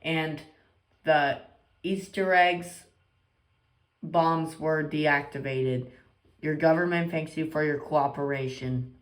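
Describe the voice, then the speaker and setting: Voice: mid-range voice, steady tone, slow pace, long pauses, echoey room, slight background noise. A synthetic-sounding announcer reading a scripted emergency alert in a formal, stilted manner. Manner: formal, stilted warning delivery.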